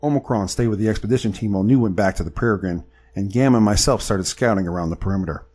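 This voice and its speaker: An actor playing a plainspoken, loyal adventurer in a first-person audio drama, with some ambience behind him. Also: male voice, on the low side, rapid-fire, darkish tone, husky. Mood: reflective. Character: plainspoken, loyal male adventurer recounting his tale.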